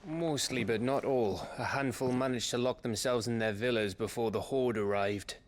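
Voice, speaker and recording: An actor playing a wry, loyal rebel scout in a fantasy game, plain-spoken and given to teasing. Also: male voice, mid-range voice, moderate pace, close to an everyday voice. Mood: sober, reporting. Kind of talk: cinematic game dialogue.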